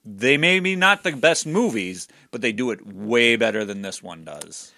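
A man co-hosting a casual movie review podcast, going through his notes in an unhurried way. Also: lowish voice, expressive, pushed and bright. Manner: unhurried, reads from his notes, short agreements. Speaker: podcast co-host.

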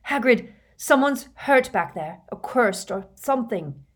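A woman's voice: a little high, expressive, measured pace, voice pushed up. A woman voicing an urgent, brave teenage schoolboy in clipped phrases, as part of a storybook reading. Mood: urgent, shouting.

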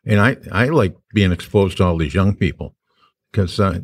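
A man co-hosting a podcast in a university radio studio, talking in a relaxed, unhurried way. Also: low voice, no echo. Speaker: podcast co-host. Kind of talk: casual podcast chat.

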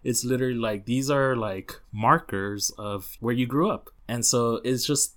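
A man hosts a casual interview in a relaxed, playful way. He slips Spanish words into his sentences. His voice sits at a middle pitch. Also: a little slow. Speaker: podcast host.

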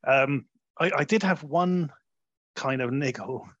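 A man hosting a conversational film review, talking in a halting way and often rephrasing as he goes. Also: mid-range voice, no room sound, highs cut off. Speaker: film review podcast host.

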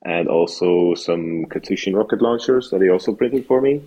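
A man's voice: low-pitched, normal speed, warm tone, dull audio. A Norwegian man from the west coast, a podcast guest speaking English with a Norwegian accent in a matter-of-fact way. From west coast of Norway. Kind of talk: casual chat over a call.

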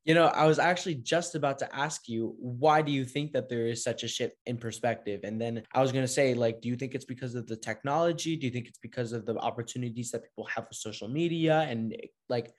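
A man hosting an interview, asking friendly, somewhat rambling questions and warmly affirming the answers. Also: medium pitch, fast talker, hardly any pauses. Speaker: interviewer host.

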